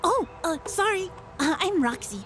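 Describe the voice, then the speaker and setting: A woman's voice: very high voice, lively intonation, moderate pace. A woman voicing a shy, eager schoolgirl reporter in a motion comic, hesitant and awkward. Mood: nervous, eager. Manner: hesitant, awkward, wide-eyed. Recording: voice over clear music.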